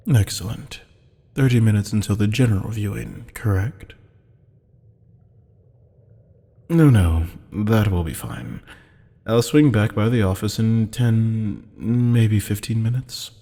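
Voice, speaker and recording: Guy doing a dark husky demon for asmr roleplay, haughty and taunting, big echoey hall reverb. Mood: cool, businesslike. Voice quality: low, gravelly, husky. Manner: slow, taunting, mock-polite. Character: teasing, haughty, predatory male demon buyer. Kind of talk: ASMR roleplay audio drama.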